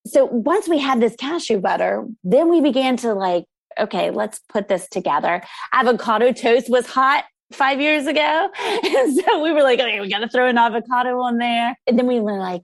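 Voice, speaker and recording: A woman's voice: medium pitch, creaky, no echo. An American woman talking casually as an interview guest, enthusiastic and chatty. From United States, grew up in the country. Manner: enthusiastic, chatty, quick to laugh. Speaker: interview guest, food truck operator.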